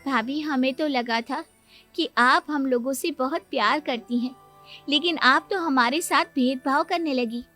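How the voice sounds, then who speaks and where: A woman's voice: sits high, a little slow, sharp tone, faint music behind. A woman voicing a plaintive, protesting young sister-in-law in a cartoon moral story. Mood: hurt. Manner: protesting, earnest.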